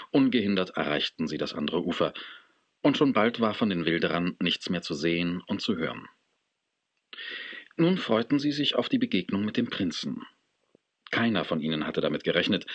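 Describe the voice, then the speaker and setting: A male storyteller in a children's radio play. His voice is very low and husky. He tells the tale in a measured, expressive way, with plenty of melodic movement. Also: no room sound. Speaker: narrator.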